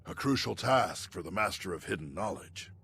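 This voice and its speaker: An actor playing a wise, serene scholarly Jedi master in a video game, measured and formal. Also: male voice, low-pitched, expressive, vocal fry, a bit bright, slightly rough, full character voice. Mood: earnest, resolute. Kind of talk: scripted video game acting.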